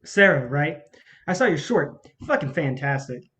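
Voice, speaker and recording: A woman's voice: low-pitched, big pitch swings, a little slow, fairly bright tone, exaggerated voice. A woman playing a cunning, bitter male film distributor in a staged play reading, with a blunt, cocky manner. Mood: slick, flattering.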